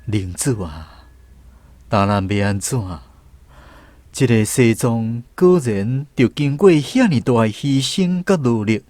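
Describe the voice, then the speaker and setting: A man's voice: medium pitch, darkish tone, no room sound. An actor playing a weak, weary lost man searching for peace, earnest and pleading, in a Taiwanese radio drama. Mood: despondent.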